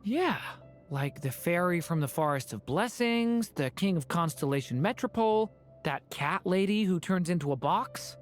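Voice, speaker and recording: A woman voicing a gentle young male dragon in human form, earnest, curious and polite, in a video game. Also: lowish voice, expressive, moderate pace, soft background music, natural voice. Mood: pensive. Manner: earnest, polite, soft-spoken. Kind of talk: video game character acting.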